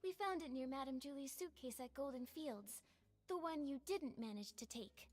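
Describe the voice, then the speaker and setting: A woman's voice: sits high, lively intonation, moderate pace, darkish tone, soft background music. An actress playing a determined female investigator in a video game, speaking in an articulate, insistent, accusatory way. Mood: accusing. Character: determined young female investigator pressing a suspect.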